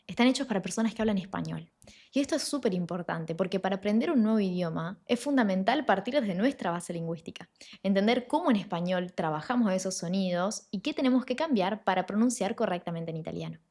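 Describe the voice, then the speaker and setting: A woman teaches pronunciation in an online lesson. Her voice sits at an ordinary middle pitch. She explains clearly and patiently, and slows down deliberately whenever she models a sound. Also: a little mellow. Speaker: Italian language teacher.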